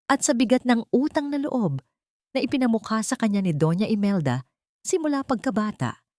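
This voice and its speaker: A woman's voice: on the high side, animated, moderate pace, warm tone, no room sound, clean studio sound. A woman narrator reading a scripted, dramatic story.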